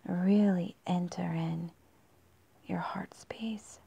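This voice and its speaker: A woman leading a guided meditation in a soft, breathy, husky near-whisper with long pauses, in a dull, muffled recording. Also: on the low side, fairly even intonation.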